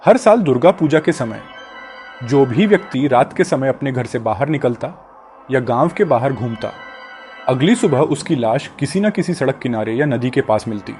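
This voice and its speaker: A man acting a stern, grave village patriarch from an animated horror tale. His delivery is solemn and deliberate, with a very lively pitch range. Faint music sits behind him. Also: natural voice. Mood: grave, ominous. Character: grave village landlord father recounting old tragedy. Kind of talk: Hindi animated horror story dialogue.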